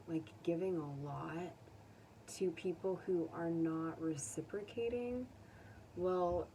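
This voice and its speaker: An American woman giving a casual, unscripted tarot reading to viewers, conversational and musing, pausing to think aloud. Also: on the low side, warm tone. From United States. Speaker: tarot reader. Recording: distant mic with faint background noise.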